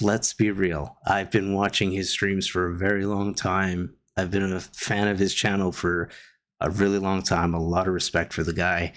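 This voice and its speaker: A man from Guyana giving a casual opinion monologue, rambling with many pauses and restarts. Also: low-pitched. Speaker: commentator reacting to online drama. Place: Canada.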